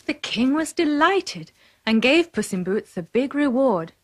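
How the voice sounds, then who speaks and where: A woman's voice: sits high, sing-song, measured pace, a little hiss or hum. A woman narrator reading a scripted children's fairy tale.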